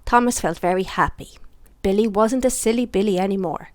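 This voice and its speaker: A woman reading a children's bedtime story aloud in an expressive storytelling style. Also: mid-range voice, a little fast, no room sound.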